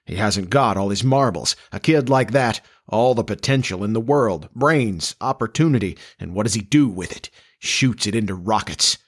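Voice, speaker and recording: Middle-aged farmer dad for a story male reader acts him, lowish voice, gruff, tense, irritable, folksy. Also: expressive, moderate pace. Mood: irritable, scornful. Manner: curt, grumbling, folksy.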